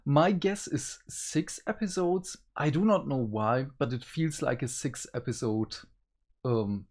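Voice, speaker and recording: A man gives his thoughts in a casual review chat as a co-host. His delivery is slow and takes its time, at an average male pitch. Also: a little mellow.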